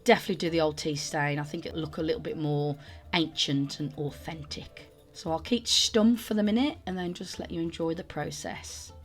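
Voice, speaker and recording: A woman's voice: low-pitched, moderate pace, soft background music. A woman hosting a sculpting tutorial voice-over, chatty and self-deprecating, her voice cracking from a sore throat. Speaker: sculpting channel host.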